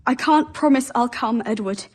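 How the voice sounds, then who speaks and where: An actress playing an anxious young wife in a video game, tender but unyielding. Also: female voice, fairly high pitch, normal speed, close to an everyday voice. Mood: upset, firm. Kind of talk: video game cutscene acting.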